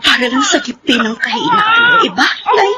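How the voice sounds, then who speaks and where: A woman's voice: very high voice, big pitch swings, moderate pace, shouting, very noisy. A woman playing a bitter, grieving mother in an emotional, tearful radio drama performance. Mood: anguished grief. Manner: emotional, impassioned, sobbing delivery.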